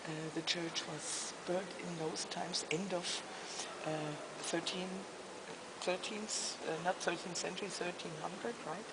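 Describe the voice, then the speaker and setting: 여자 가이드가 독일 억양의 영어로 성당 안을 안내하며, 목소리를 낮춰 조용히 말하고 자주 말을 멈추고 단어를 찾는다. 목소리는 거칠고 갈라진 음색이 섞여 있다. 녹음 전체에 시끄러운 잡음이 깔려 있다. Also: female voice, lowish voice, steady tone, heavy background noise. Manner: hushed, keeps asking "right?", pauses to search for words. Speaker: tour guide. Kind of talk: guided church tour. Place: inside a large echoing church.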